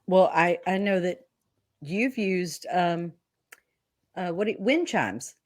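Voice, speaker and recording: A woman's voice: fairly low pitch, expressive, slow, darkish tone. A woman co-hosting a show, explaining things in emphatic, folksy, casual chat. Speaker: co-host of a paranormal show.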